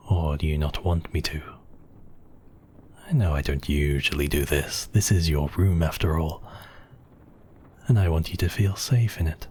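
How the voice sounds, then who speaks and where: A man voicing a brooding, possessive yet tender vampire count in a hushed, intimate, whispery murmur for romantic ASMR roleplay. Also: extremely low pitch, a little hiss or hum.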